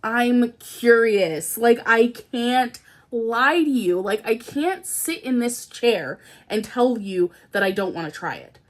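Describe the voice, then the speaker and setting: A woman's voice: on the high side, lively intonation, slow. A young woman from Canada, a beauty vlogger, chatting casually about new products, a little nasal and congested from a cold, rambling with lots of fillers. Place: indoors at home, seated in a chair.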